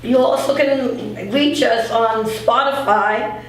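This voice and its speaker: A woman's voice: fairly high pitch, fairly even intonation, slow, faint music behind. A woman hosting a radio show, speaking conversationally as she interviews and makes announcements. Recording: echoey room, distant mic, faint noise.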